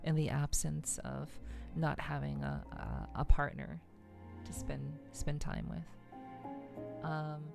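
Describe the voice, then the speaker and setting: A woman livestreamer chatting casually to viewers in an ASMR style, with a soothing voice and a relaxed, halting, unhurried delivery. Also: sits low, vocal fry, music in the background. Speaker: VTuber livestream host.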